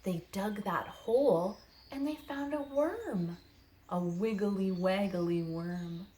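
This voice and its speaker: A woman childcare educator telling stories and playing with toddlers in a sing-song, animated, child-directed way, using simple phrases. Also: mid-range voice, slow pace, slight background noise. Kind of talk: sing-song picture book storytelling and play for toddlers.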